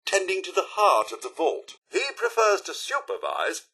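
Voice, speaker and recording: A man voicing a prim, fussy robot butler in a video game, with a British accent, a raspy edge and formal, theatrical, deferential delivery. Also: sits high, very animated, normal speed, sharp tone, full character voice. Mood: dryly sardonic.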